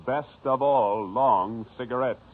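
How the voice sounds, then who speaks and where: A man announcing in a formal, measured 1950s radio style. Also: mid-range voice. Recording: narrow-band vintage radio recording.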